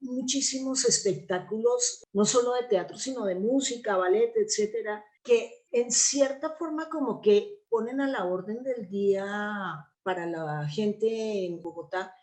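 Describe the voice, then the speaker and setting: A woman with a Colombian accent speaks as a guest in an interview. Her voice sits at a middle pitch. She explains things conversationally, often checking in with short question tags. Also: a little slow, few pauses, a little mellow. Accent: Colombian Spanish. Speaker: interview guest, theatre actress and playwright.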